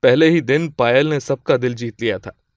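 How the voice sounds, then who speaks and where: A man's voice: mid-range voice, moderate pace, no room sound. Man narrating a story, very dry sound.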